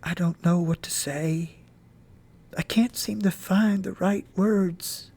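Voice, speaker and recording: A man doing a gentle, soothing mother. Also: high voice, creaky, pitched above the performer's own voice. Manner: soft, slow and soothing. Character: gentle, patient mother comforting her son.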